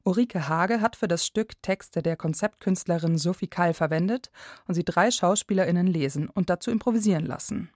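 Low-pitched woman narrating, dry sound. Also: a little mellow, no room sound. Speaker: radio reporter. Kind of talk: scripted radio feature narration.

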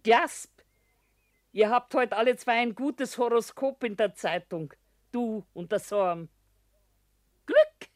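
An actress playing a doting, plaintive middle-aged widowed mother from a small town in a radio drama, speaking simply and haltingly. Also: fairly high pitch, dry recording, studio quality. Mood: eager. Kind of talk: naturalistic radio drama dialogue.

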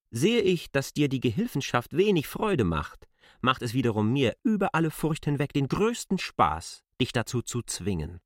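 A man's voice: mid-range voice, no room sound, studio quality, close to an everyday voice. An actor in a literary radio drama playing a proud, domineering master who speaks formally and argumentatively to his former servant. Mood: taunting, menacing. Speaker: lead actor.

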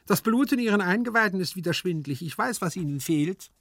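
A scrawny, practical manservant from a literary tale, acted out by a male reader. His voice sits high and he speaks pertly, contradicting with good-natured certainty and mangled learned words. The sound is crisp and dry. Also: animated, a little fast, fairly bright tone, no echo, exaggerated voice. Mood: insistent.

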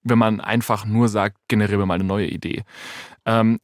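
A man's voice: fairly low pitch, little pitch movement, moderate pace, dry recording. A man in a casual studio conversation, explaining things step by step as an expert guest and giving quoted examples. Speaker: AI expert guest, podcast host.

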